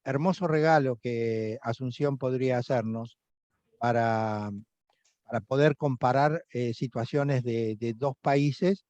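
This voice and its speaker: A man's voice: medium pitch, slow, a little mellow, dry recording. A man with an Argentine accent, instructor of an online course, lecturing with many hesitations and fillers. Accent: Argentine Spanish. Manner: hesitant, many fillers, recommending and inviting students. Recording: dry, dull video-call audio.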